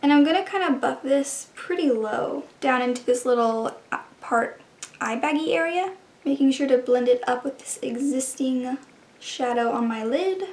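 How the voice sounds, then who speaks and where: A woman with a high voice and a slightly stuffy, congested tone talks her way through a makeup routine. She chats loosely from one step to the next, and the room around her rings with echo. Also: normal speed, a little mellow. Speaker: beauty vlogger. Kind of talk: casual makeup try-on narration.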